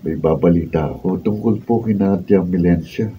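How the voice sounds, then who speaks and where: A man's voice: deep voice, moderate pace, recorded from a distance, muffled recording, slight background noise, close to an everyday voice. A man playing a respectful grown son in a radio drama, polite and easygoing as he speaks. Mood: polite, informative. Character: respectful grown son bringing family news. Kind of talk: Filipino radio drama acting.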